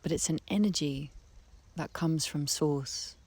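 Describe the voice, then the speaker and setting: A woman leading a guided meditation in a soft, breathy voice, speaking gently with long unhurried pauses. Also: low voice, no echo. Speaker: guided relaxation teacher. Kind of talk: guided meditation, soothing slow instruction. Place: outdoors beside a harbour. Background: birdsong, trickling stream, breeze.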